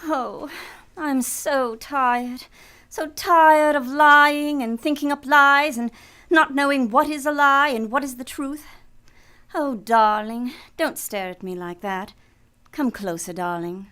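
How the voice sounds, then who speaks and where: An actress playing a seductive, deceitful femme fatale in a radio play, with theatrical delivery. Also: female voice, high-pitched, takes it slowly, sharp tone, heavily acted. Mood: weary confession, then seductive pleading. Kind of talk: old-time radio play acting.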